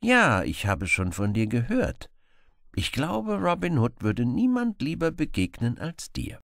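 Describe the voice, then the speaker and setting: Man doing a sly outlaw hero in a storybook, composed, acts innocent while needling, very lively pitch. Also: unhurried, vocal fry, no echo, studio quality, full character voice. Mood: calm. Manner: composed, quietly provocative. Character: calm, sly heroic male outlaw, feigning innocence. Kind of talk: audiobook character acting.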